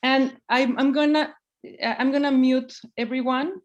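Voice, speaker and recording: A woman's voice: high-pitched, steady tone, unhurried, lo-fi sound. A woman from Mexico hosting an online artist talk over a video call, friendly and a little hesitant, with restarts.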